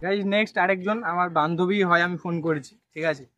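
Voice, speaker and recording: A man's voice: high voice, steady tone, fairly quick, lo-fi sound. A young man with a West Bengal accent making casual prank calls, cheeky and straight-faced in his insistence. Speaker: prank caller and video host. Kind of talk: casual prank phone call chat. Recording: loud music under the voice. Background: music.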